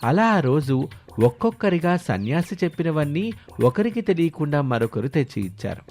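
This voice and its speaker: A man's voice: medium pitch, a little mellow. A man telling a scripted moral tale as storyteller.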